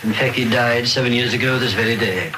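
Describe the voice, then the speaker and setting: An actor playing a stern old Victorian miser in film dialogue, formal and curt, on a noisy soundtrack clip. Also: male voice, mid-range voice, a little fast, lightly acted.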